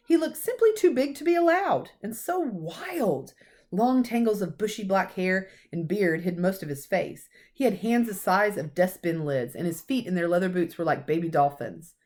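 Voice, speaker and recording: A woman teacher reading a storybook aloud to children with expressive narration. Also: medium pitch.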